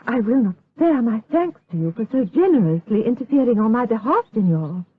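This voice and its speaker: Period drama casting: protective widowed mother, formal, wary and stern, slightly rough voice, thin lo-fi radio sound. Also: a little high, sing-song, a little fast. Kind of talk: period audio drama acting. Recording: dull lo-fi radio drama recording.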